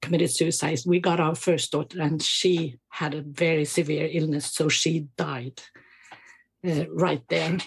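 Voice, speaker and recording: A Swedish woman in her fifties speaks English with a Swedish accent, slowly and with many pauses. Her voice is husky and a little low, and she talks in a thoughtful, hesitant way. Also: flat delivery. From Sweden. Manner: hesitant, many fillers and restarts, reflective. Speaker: guest, medical doctor. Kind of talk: interview conversation.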